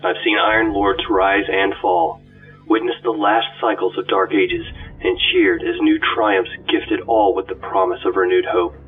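Ancient, awestruck ghost, acted by a man: deep-leaning, unhurried, solemnly oratorical, heard thin and far off with music behind. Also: fairly even intonation, natural voice. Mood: reverent wonder. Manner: reverent, measured, oratorical. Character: awed, ancient ghost companion reflecting proudly on humanity. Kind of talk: dramatic scripted monologue. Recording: distant, phone-like audio under music.

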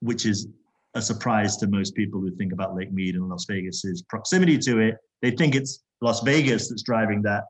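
A man from Zambia, a corporate sustainability executive, talking as an interview guest with a halting delivery full of restarts. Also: on the low side, poor audio. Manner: halting, many restarts and fillers.

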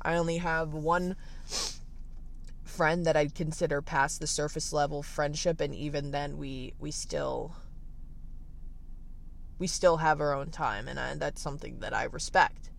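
A young man in his late teens with a raspy voice, candidly thinking aloud in a casual livestream from the beach, full of fillers and restarts. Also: fairly high pitch, fairly even intonation, unhurried, a bit bright, a little hiss or hum. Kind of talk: reflective casual livestream monologue.

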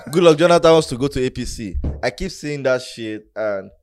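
A man's voice: medium pitch, expressive, fairly quick, clear, resonant tone, no echo, clean studio sound. A young man in casual political chat, slangy and calling his friends bro.

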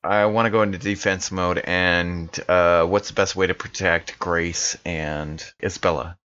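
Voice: deep voice, steady tone, a little slow, fairly bright tone. A tabletop game player chatting casually at the table, on a slightly dull recording.

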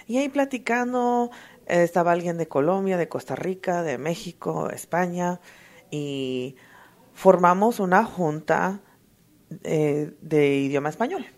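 A woman giving an emotional, earnest personal testimony at a recovery meeting, halting often to restart her sentences. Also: on the low side. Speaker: twelve-step fellowship member sharing.

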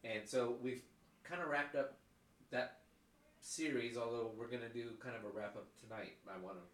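A man's voice: medium pitch, steady tone, normal speed, a bit bright, roomy sound. A man with a cold and a stuffy nose co-hosting a casual podcast, wry and joking. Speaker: podcast co-host. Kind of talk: casual chat.

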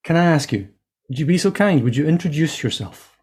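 Husky-voiced male podcast host. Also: on the high side, lively intonation, brisk, a little mellow. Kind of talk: interview.

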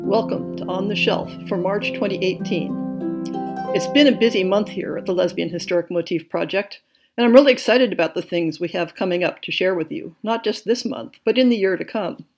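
A woman hosting a podcast, reading a scripted monthly update in a measured, enthusiastic way. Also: medium pitch, over music. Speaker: podcast host.

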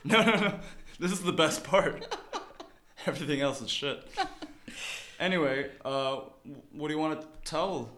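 A man's voice: a little high, measured pace, pauses often, fairly bright tone. A man in his late twenties co-hosting a podcast at work, rambling through casual chat. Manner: rambling, lots of fillers and restarts. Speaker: podcast co-host. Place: office at work.

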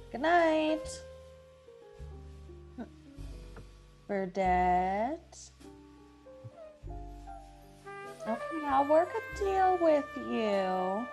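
Actress as wry college girl babysitter, high voice, takes her time, deadpan sarcasm, old radio comedy. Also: heavily acted. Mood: resigned, wry. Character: wry young female college student working as babysitter. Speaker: actor in a radio play. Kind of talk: comedic radio play acting.

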